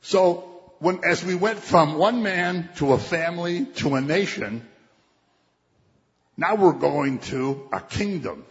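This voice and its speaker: Male preacher, slightly high voice, conversational delivery; long hall reverb. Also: expressive, slow pace. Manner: conversational preaching, quotes scripture, acts out voices. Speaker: pastor. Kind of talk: sermon. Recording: dull, muffled sound with long hall reverb.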